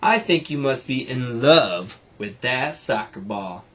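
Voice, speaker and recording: A man's voice: mid-range voice, expressive, takes it slowly, fairly bright tone, pitched below the performer's own voice. A man voicing an impatient young girl bear cub from a children's storybook. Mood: irritated, impatient.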